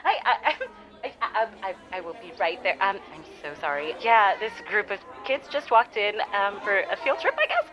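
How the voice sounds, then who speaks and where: A woman playing a shy, flustered caller in an audio drama, halting and stammering with a giggly, confessional delivery over a dull phone-in sound. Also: medium pitch, very animated, over music, close to an everyday voice. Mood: awkward, apologetic.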